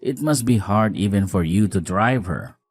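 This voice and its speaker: Very animated, earnest husband acted by a man. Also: on the low side, normal speed, faint music behind. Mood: weary, apologetic. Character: apologetic, weary newlywed husband, caring and frank. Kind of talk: scripted dialogue, audio story drama.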